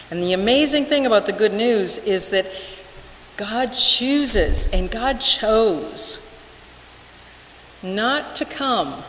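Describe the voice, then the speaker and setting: Casting: older female minister, very deliberate slow sermon delivery, reverberant sanctuary. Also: medium pitch, fairly bright tone. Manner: measured, deliberate, with emphatic pauses. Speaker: minister preaching. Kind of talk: church sermon. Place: large wooden church sanctuary, at the pulpit. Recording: echoey church hall with hiss.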